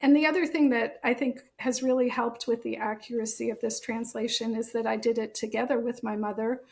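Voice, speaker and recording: Woman, American accent, podcast guest, measured and thoughtful, gravelly edge. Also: a little high, steady tone. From born in Germany, raised in the United States. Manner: thoughtful, measured, with occasional soft laughs. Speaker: guest, author and translator. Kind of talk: podcast interview.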